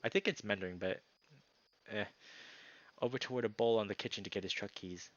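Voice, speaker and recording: A man narrating a visual novel aloud as a streamer, with informal, expressive asides. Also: on the low side, fairly quick, lo-fi sound.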